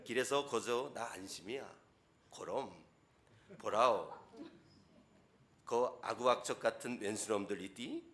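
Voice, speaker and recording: A man's voice: on the low side, unhurried, pauses often, a bit bright. A man reading a psalm as a folksy North Korean speaker with a Pyongan dialect accent, emphatic and plain-spoken. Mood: hearty.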